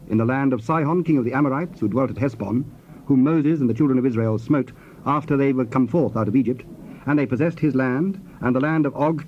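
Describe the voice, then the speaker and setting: An actor narrating dramatized scripture in a formal, measured manner with clear phrasing. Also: male voice, on the high side, lightly acted. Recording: dull old cassette tape, faint hiss.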